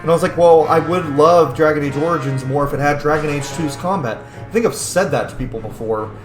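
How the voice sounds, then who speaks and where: A man's voice: a little high, brisk, roomy sound, soft background music. A man giving casual, opinionated gaming commentary, speaking bluntly and emphatically with frequent swearing. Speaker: gaming channel host. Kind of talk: casual let's-play commentary with opinionated rambling.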